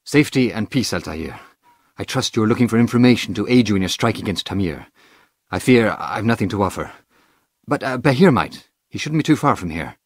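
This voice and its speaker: An actor playing a courteous, apologetic assassin contact in a video game, polite and slightly hesitant. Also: male voice, lowish voice, animated, fairly quick, no room sound, clean studio sound. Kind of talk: dramatic video game acting.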